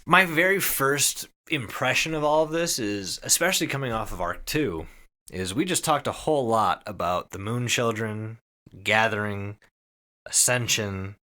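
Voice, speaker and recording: Bright, forceful man telling a story casually. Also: medium pitch, expressive, normal speed. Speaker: podcast host summarizing an internet horror story. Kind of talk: casual, sweary podcast storytelling.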